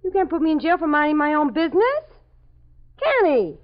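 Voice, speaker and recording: A woman's voice: sits high, sing-song, brisk. An actress playing a frightened young woman being questioned by police, defensive and pleading, in a 1950s radio drama. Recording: dull old radio broadcast recording.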